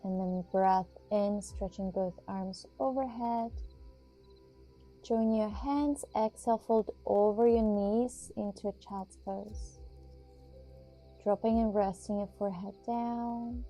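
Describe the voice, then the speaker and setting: A woman giving guided yoga and meditation instruction in a soothing, measured way, with pauses between phrases. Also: mid-range voice, fairly even intonation, warm tone. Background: music.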